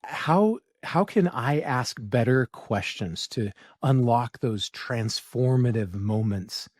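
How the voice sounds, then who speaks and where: A male podcast host with a mid-pitched voice, asking questions and affirming his guest. The sound is dry and close, with no noticeable room echo. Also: animated, takes it slowly, a little mellow. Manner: affirming, encouraging. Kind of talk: interview questions, casual.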